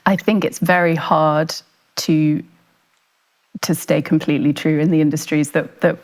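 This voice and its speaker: A woman's voice: low voice, steady tone, unhurried. A woman talking as a podcast guest, thoughtful and hesitant, often repeating words as she finds them. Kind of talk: casual podcast conversation.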